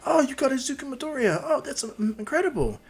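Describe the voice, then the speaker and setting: A man voicing an awed, excited passer-by who meets a hero in a fan story. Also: very high-pitched, quick pace, slightly rough, pitched above the performer's own voice, heavily acted. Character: starstruck excited passers-by greeting their hero.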